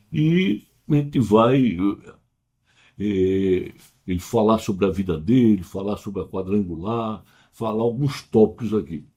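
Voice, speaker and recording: A man hosting an interview show, talking in a casual, halting way with repeated words. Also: lowish voice, dark tone, slightly rough. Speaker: TV program host.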